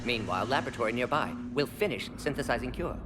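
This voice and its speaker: Man playing clipped, brisk alien scientist. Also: medium pitch, expressive, heavy background noise, close to an everyday voice. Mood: brisk, confident. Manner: clipped, telegraphic phrasing. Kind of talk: video game voice acting.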